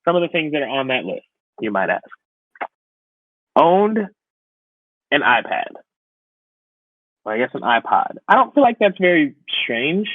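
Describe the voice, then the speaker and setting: A man's voice: a little high, very animated, a little slow, pauses often, no echo, narrow, tinny audio. A young man who hosts a podcast, chatting casually about pop culture in a conversational way with wry asides. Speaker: podcast host.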